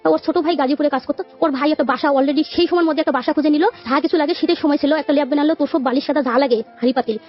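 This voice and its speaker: A woman's voice: sits high, very fast, hardly any pauses. A woman with a Bangladeshi accent telling her life story as a radio guest, rambling and quoting conversations as she goes. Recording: muffled radio audio with music behind. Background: background music.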